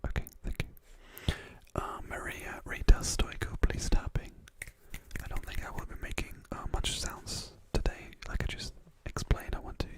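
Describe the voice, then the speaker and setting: An ASMR livestream host whispering softly in a rambling, relaxed way while reading viewer messages aloud from a room at home. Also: moderate pace.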